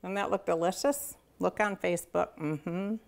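Chatty low-pitched woman hosting a cooking show. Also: fairly even intonation, moderate pace, vocal fry. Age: middle-aged. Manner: chatty, folksy, enthusiastic, addresses viewers directly. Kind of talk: casual cooking demonstration to camera. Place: home kitchen, indoors.

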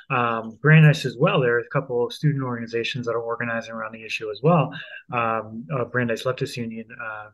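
A man's voice: medium pitch, fairly bright tone. Man hosting, dull lo-fi call audio. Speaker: host of a local news discussion show. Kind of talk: conversational news discussion. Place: home room, indoors. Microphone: earphone headset on video call.

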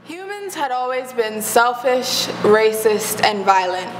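Haughty high-voiced teenage girl in a noisy hall actress. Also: sing-song, takes it slowly. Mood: preachy, grand. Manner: blunt, self-righteous, formal wording. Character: blunt, haughty teenage girl misanthrope filmmaking subject. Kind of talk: amateur short film acting. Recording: noisy, long reverberant location sound.

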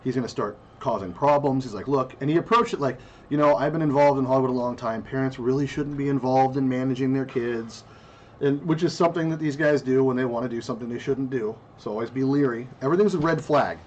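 Podcast host, male, slight lisp, loose swearing chat, mid-pitched voice, slightly muffled recording with faint background hum. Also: quick pace. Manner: animated, swears freely, laughs often. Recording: dull lo-fi sound with faint ambience.

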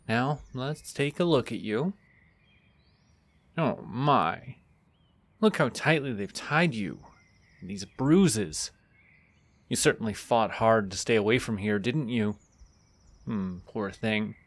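A man voicing an immortal archfey in a soft-spoken fantasy audio roleplay, courtly, teasing and possessive, coaxing with condescending affection. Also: medium pitch.

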